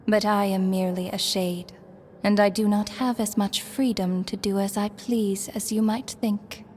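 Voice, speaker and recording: A woman's voice: mid-range voice, flat delivery, normal speed, darkish tone, slight background noise, natural voice. An actress playing a solemn female shade who rules death, speaking in grave, formal pronouncements for a video game. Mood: stern, measured. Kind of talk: dramatic video game acting. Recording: music playing behind the voice.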